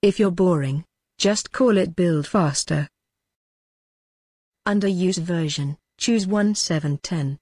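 A woman's voice: on the low side, takes it slowly, pauses often, a little mellow, husky, no echo. A woman reading step-by-step instructions in a dry, matter-of-fact way. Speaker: tutorial narrator. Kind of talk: scripted narration.

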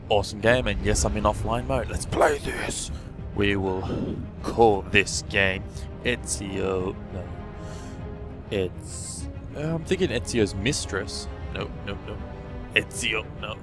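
A man's voice: fairly low pitch, unhurried, over music. Playful man doing gameplay commentary. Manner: goofy, repeats and echoes lines. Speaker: gaming video host.

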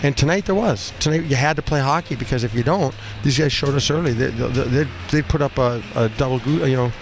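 Middle aged guy coach doing postgame interview on headset, blunt stop-start talk, noisy background, medium pitch. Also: fast talker. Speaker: ice hockey coach. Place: small cinder-block room indoors at a rink. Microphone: headset boom mic. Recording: noisy arena room, steady background din.

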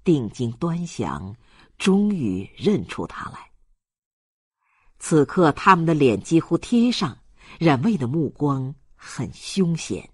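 An audiobook narrator reading a novel in a measured, expressive storytelling style. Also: mid-range voice, slightly rough, no echo, clean studio sound. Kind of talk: scripted novel narration, slow dramatic storytelling.

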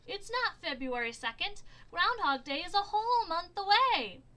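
A woman voicing a fussy, twitchy male squirrel who talks sharp and indignant in a storybook. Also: medium pitch, big pitch swings, a little slow, exaggerated voice.

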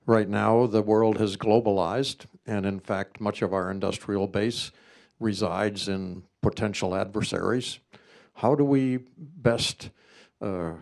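A man poses a question from the audience at a forum. His voice is fairly low with a gravelly creak. He phrases things formally and deliberately. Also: darkish tone. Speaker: audience member asking a question. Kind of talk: prepared question at a public forum.

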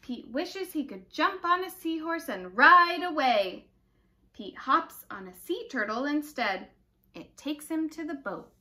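A young woman reading a picture book aloud to small children in an expressive, dramatic way. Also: high voice, unhurried. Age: young adult. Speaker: children's story time reader. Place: living room indoors, by a brick fireplace.

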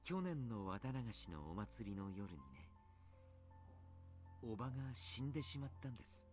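Troubled doctor, visual novel drama, polite, soft-spoken, lively intonation, brisk delivery. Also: male voice, fairly low pitch, soft background music. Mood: somber, troubled. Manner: polite, measured, choosing words carefully. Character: soft-spoken, grave male clinic doctor, courteous and troubled. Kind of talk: Japanese visual novel voice acting.

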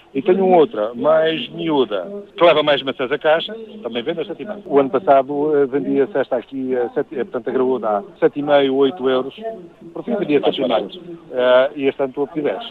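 A man, an apple grower, interviewed at an outdoor fair stall, speaking matter-of-factly. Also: medium pitch. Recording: tinny phone-like sound.

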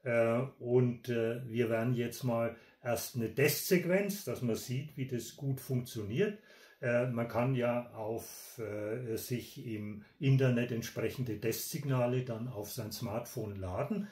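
An older man in his sixties or beyond giving an explanatory technical demonstration in a halting, deliberate manner, with frequent hesitations. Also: mid-range voice, a little mellow. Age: 60s or older. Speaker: presenter of a technical radio channel. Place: small indoor room. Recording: echoey room, distant microphone.

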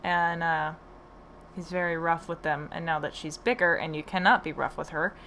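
A woman's voice: lowish voice, steady tone, moderate pace, sharp tone, a little hiss or hum. A woman hosting a podcast about her horses, talking casually with plenty of hesitant fillers. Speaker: podcast host and horse owner. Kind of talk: casual conversation.

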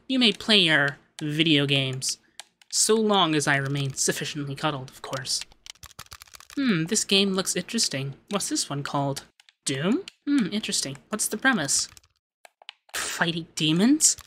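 A man voicing a haughty young femboy demon lord, pompous but easily flustered and secretly soft, in an ASMR roleplay. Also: fairly high pitch, a little hiss or hum. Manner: pompous commands that slip into flustered cooing.

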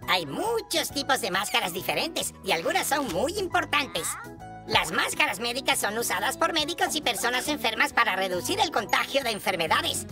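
A woman's voice: on the high side, big pitch swings, moderate pace. A woman acting as a cheerful explainer, narrating an educational children's cartoon from a script. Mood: cheerful, explanatory. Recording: music behind the voice. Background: music.

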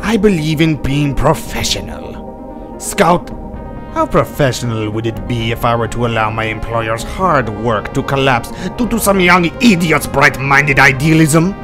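A man's voice: medium pitch, unhurried, natural voice. A man voicing a treacherous, coolly professional spy with a condescending, theatrical manner in a fan-dubbed comic. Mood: lecturing, menacing. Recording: music behind the voice.

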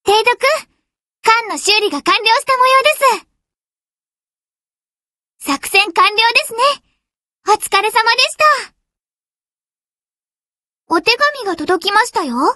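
A woman's voice: very high-pitched, animated, unhurried, pauses often, pushed and bright, no echo, clean studio sound, exaggerated voice. A woman voicing a clumsy, eager young warship girl in an anime game, sweet, polite and earnest. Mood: eager, cheerful. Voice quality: girlish, sweet. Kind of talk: anime game character voice lines.

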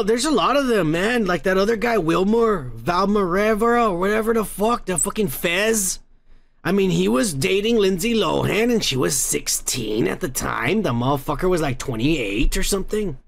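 A man's voice: high-pitched, animated, moderate pace, creaky. An American man hosting a podcast at home, rambling through viewer comments with lots of swearing and fillers. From United States. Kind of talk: casual profane commentary. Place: dim room at home.

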